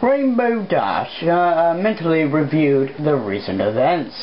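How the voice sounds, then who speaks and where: A woman's voice: low voice, sing-song, unhurried. A woman reading fan fiction aloud as an amateur, casual and blunt in her delivery. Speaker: reader. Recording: muffled, noisy home recording.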